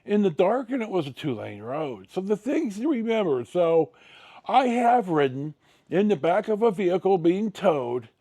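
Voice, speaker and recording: An American man in his sixties tells a personal story in a casual, colloquial way. His voice is mellow and somewhat high for a man, and it moves up and down in a lively way as he goes haltingly along. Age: 60s. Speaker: storyteller, vlog host.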